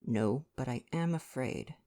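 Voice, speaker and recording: A man voicing a grave, measured little detective from a storybook, speaking slowly and deliberately. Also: medium pitch, expressive, dark tone. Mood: grave foreboding.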